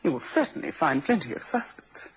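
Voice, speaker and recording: An actress playing a prim, loyal and defensive secretary in a vintage radio crime drama, speaking formally and precisely. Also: female voice, low voice, big pitch swings, a little fast, breathy edge, exaggerated voice. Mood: formal, scornful. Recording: dull, noisy vintage radio recording.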